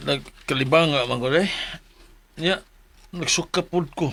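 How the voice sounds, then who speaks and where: A man's voice: on the high side, measured pace, vocal fry, heavy background noise. An actor with a Cebuano accent playing an earnest, ailing 45-year-old husband who tells his troubles in a Philippine radio drama. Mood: weak, distressed. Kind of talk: Philippine radio drama acting and letter narration. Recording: music bed under voice.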